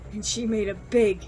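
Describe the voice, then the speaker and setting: A woman's voice: mid-range voice, background noise, natural voice. A woman playing a defiant, sarcastic, bitter young woman with a biting, scornful manner in an audio drama. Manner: scornful, foul-mouthed, biting. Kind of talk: audio drama acting, anime fan parody.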